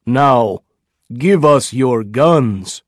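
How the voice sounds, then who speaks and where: A scheming warrior chief, smug and taunting, in a plain mid-pitched voice, as a male narrator plays him. He drags each word out very slowly, and the sound is dry and close. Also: animated, dry recording.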